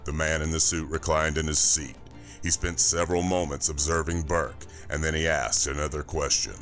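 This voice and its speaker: A man narrating a science fiction thriller audiobook from a script, in a measured, dramatic way. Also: extremely low pitch, steady tone, fairly bright tone, faint music behind.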